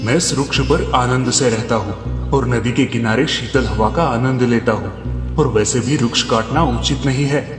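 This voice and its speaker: A man plays a stately male tree deity from an animated folk tale. He speaks in a calm, solemn, formal manner, pitched low, with music behind him. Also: moderate pace, reverberant, in a large hall, close to an everyday voice. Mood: solemn, benevolent. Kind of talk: animated Hindi storybook tale.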